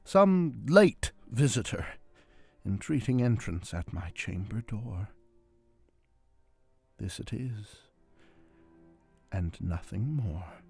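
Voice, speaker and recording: Slow, creaky-voiced man reciting gothic verse. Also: on the low side. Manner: theatrical, swinging from hushed whispers to loud outbursts. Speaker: amateur reader of poetry. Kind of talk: dramatic recitation of a gothic narrative poem. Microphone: close handheld portable recorder. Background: dramatic string quartet score.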